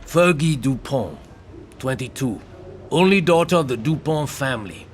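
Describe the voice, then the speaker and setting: A man's voice: mid-range voice, expressive, normal speed, a little hiss or hum, natural voice. An actor playing a jaded, sardonic middle-aged male senior detective in an audio drama, curt and dry. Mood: weary, businesslike.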